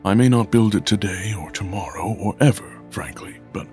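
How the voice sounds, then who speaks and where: Actor as a solemn, low-voiced male visionary. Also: darkish tone, soft background music, lightly acted. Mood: pensive, resolute. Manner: deliberate, solemn, formal. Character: earnest, brooding visionary male ship designer. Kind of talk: audio fiction drama acting.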